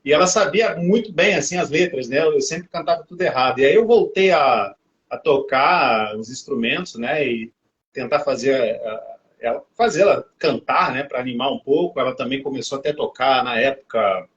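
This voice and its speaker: A man's voice: medium pitch, normal speed, a bit bright. A man in his thirties hosting a casual interview, chatty and often adding tag questions. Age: 30s. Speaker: host. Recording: dull lo-fi audio.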